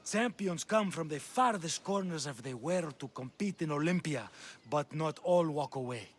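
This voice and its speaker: An actor playing a wry, proud trainer of champion fighters in a game, with a dry, storytelling manner. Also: male voice, on the high side, animated, moderate pace, lightly acted. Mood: wry, informative. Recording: clearly audible ambient background noise.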